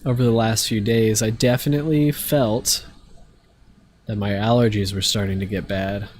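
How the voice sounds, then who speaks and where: A man's voice: lowish voice, moderate pace, slight background noise. Stuffy-nosed male streamer, rambling. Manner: relaxed, rambling, many fillers. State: stuffy from allergies. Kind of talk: casual live-stream chat while gaming.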